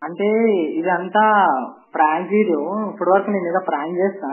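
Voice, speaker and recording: A man's voice: sits high, steady tone, fairly quick. A man making a prank phone call, persistently pushing his proposal. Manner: pleading, persistent, pushy. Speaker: prank caller. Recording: tinny phone line.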